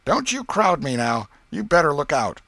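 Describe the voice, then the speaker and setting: A man's voice: fairly high pitch, big pitch swings, normal speed, bright, forceful tone, dry recording, pitched above the performer's own voice, heavily acted. A man voicing a scrappy, boastful young boy with a taunting, folksy manner in an audiobook. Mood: boastful, threatening. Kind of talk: audiobook character voice.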